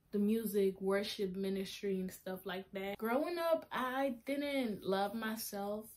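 A seventeen-year-old girl from Honduras with a Honduran Spanish accent, chatting to a webcam in her small bedroom and often restarting her sentences. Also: mid-range voice. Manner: chatty, restarting and laughing at herself. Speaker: vlogger sharing testimony. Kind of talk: casual talking-head vlog. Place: small bedroom with a flag on the wall. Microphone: webcam in front of her face.

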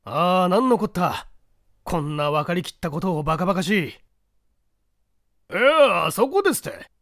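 A man acts out a mocking painter in an audiobook. His high voice swings widely with boisterous, scornful exclamations. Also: sing-song, unhurried, fairly bright tone, no echo, clean studio sound, heavily acted. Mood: mocking, excited.

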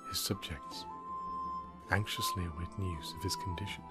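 A man giving a solemn, hushed storytelling narration in a grave, drawn-out ASMR manner. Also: low-pitched, steady tone. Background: plucked string music.

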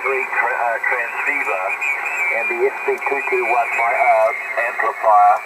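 A man's voice: medium pitch, steady tone, a little slow. A man talking as an amateur radio operator, heard over shortwave radio. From New Zealand. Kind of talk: ham radio contact, conversational. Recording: very noisy long-distance radio reception.

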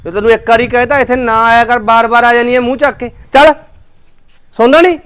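A man's voice: very high voice, steady tone, a bit bright, clean tone. A man playing a domineering husband who scolds his wife in a family drama. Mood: scolding. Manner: scolding, ordering, fast.

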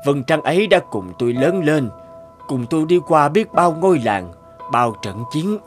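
A man voicing a solemn, expressive warrior king. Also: fairly high pitch, normal speed, soft background music, natural voice. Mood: wistful, regretful. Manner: solemn, reflective. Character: proud, regretful, homesick warrior king in middle age. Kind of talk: cartoon fairy-tale dubbing.

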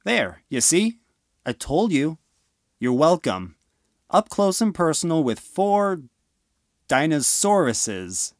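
A woman's voice: sits low, big pitch swings, slow pace, pauses often, dry recording, studio quality, exaggerated voice. A woman playing a cocky, bossy teenage older brother in a fan audio drama. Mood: smug.

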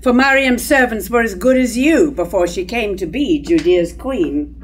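A woman in her sixties playing a haughty, indignant high-born queen, declaiming stately verse in a staged reading of a tragedy. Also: animated, moderate pace, slight background noise, natural voice. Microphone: stand microphone at lectern.

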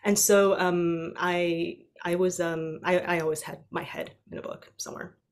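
A woman's voice: lowish voice, steady tone, moderate pace. Chatty woman from Taiwan, Chinese native. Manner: chatty, many fillers like "like" and "you know". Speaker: debut novelist on a panel. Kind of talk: casual panel conversation. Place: home room with framed pictures. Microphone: video call.

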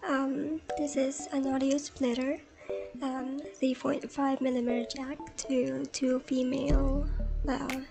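A woman's voice: high-pitched, little pitch movement, slow, gravelly. A woman with a Filipino accent giving a casual step-by-step tutorial, switching between two languages. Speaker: tech tutorial host. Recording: music playing behind the voice. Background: background music.

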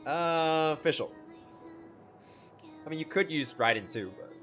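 Animated, bright-voiced man streaming. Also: fairly high pitch, slow pace. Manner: gushing, slangy, says bro and dude often. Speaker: live streamer reacting to game cutscenes. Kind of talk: casual livestream commentary, talking to chat. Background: game music behind the voice.

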